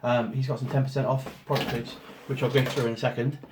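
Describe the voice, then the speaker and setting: Hoarse man rambling through an unboxing. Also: medium pitch, flat delivery, fast. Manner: rambling, many ums and restarts. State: hoarse from a cold. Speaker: photographer doing an unboxing. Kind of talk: casual product walkthrough. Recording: roomy echo, faint noise.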